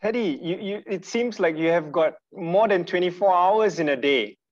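A man in his thirties hosts an online webinar, introducing and questioning a guest politely. He stumbles and repeats words as he restarts. His voice sits a bit high and sounds dull and set back from the mic. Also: lively intonation, moderate pace. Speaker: moderator, host. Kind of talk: webinar interview hosting. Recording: dull distant video call audio.

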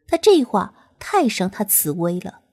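A woman narrating a romance audiobook in a soft, lilting storytelling voice. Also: mid-range voice, sing-song, a little slow, breathy edge, dry recording.

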